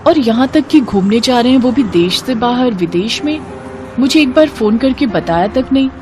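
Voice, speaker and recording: Plaintive high-voiced young wife, played by a woman. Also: lively intonation, normal speed, over music, close to an everyday voice. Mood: hurt, puzzled. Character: young married daughter-in-law, hurt, puzzled and earnest. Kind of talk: Hindi cartoon family drama acting.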